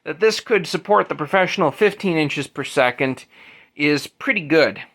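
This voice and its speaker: A man presents a technical demonstration in a somewhat high, bright voice. He explains things methodically and in detail, speaking off the cuff and correcting himself as he goes. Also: animated, normal speed. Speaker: presenter demonstrating vintage audio gear.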